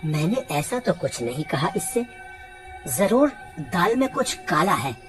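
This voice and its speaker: A woman's voice: fairly low pitch, very animated, a little slow, music in the background, pitched below the performer's own voice. A woman voicing a curious, suspicious but good-hearted village man in a cartoon, earnest and questioning. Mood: wary, alarmed.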